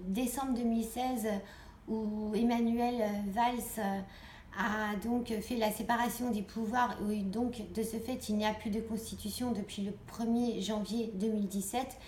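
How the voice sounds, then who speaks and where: A woman's voice: mid-range voice, a little mellow. A woman gives an informal political address to viewers, earnest and exhorting, repeating phrases for emphasis. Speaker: online video host. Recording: echoey room, clear background noise.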